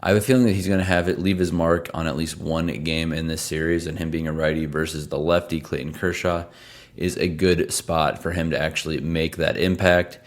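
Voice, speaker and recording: Male host, hoarse and worn out, gravelly and extremely low, chatty stat-heavy betting picks. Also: flat delivery, fairly quick. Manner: conversational, rattles off stats. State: hoarse, losing his voice; tired from travel. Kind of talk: casual sports betting analysis.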